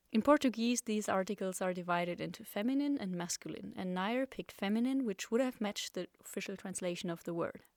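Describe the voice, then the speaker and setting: A woman hosting a podcast, reading scripted narration in a clear, explanatory and lightly playful way. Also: mid-range voice, no room sound, clean studio sound. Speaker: podcast host.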